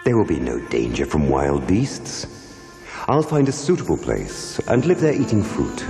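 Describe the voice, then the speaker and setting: A man playing a calm, wise spiritual teacher in an animated religious drama, speaking in a solemn, measured, authoritative way. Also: extremely low pitch, exaggerated voice. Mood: serene. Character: calm, wise enlightened male spiritual teacher, firm and compassionate. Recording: echoey hall reverb, music behind.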